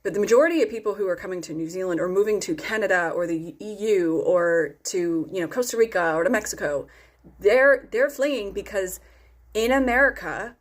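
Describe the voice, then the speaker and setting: A woman's voice: medium pitch, brisk. A woman giving a casual opinion vlog in an earnest, explanatory way, with frequent ums and restarts. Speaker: political commentator.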